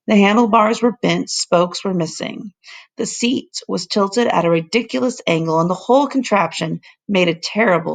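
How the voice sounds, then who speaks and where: A woman reading a children's story aloud like a teacher, with measured, deliberate, expressive narration. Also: fairly low pitch, gravelly.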